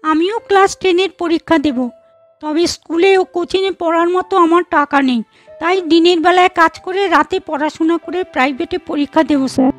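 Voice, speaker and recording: A woman voicing a poor, quiet teenage schoolgirl who speaks politely and apologetically in a storybook cartoon. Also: very high voice, moderate pace, soft background music. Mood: apologetic.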